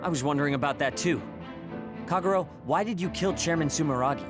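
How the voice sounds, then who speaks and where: Actor as a high-voiced, earnest young teammate. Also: male voice, quick pace, lightly acted. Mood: questioning. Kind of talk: English anime game dub acting. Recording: music behind the voice.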